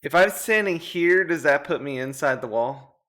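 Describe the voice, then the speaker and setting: A man's voice: a little high, lively intonation, moderate pace, fairly bright tone. A man talking casually as a player in a tabletop roleplaying game.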